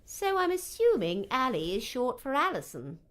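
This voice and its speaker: Woman acting a lively, motherly old shopkeeper. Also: high voice, normal speed, full character voice. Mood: fond chatter. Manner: motherly, fussing, chiding. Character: motherly old woman shopkeeper tailor, scolding but caring. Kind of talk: dramatised audiobook character dialogue.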